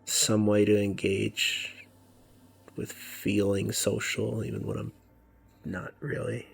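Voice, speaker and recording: A man giving casual, rambling commentary over a video game, musing about himself and often dropping to a soft near-whisper. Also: on the low side, level tone, slow, vocal fry, husky. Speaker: let's play commentator.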